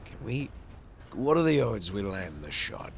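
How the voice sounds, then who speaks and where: Deep gravelly man doing game commentary. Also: very animated, measured pace. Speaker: gaming channel host. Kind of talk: playthrough commentary over a turn-based strategy game. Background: game music.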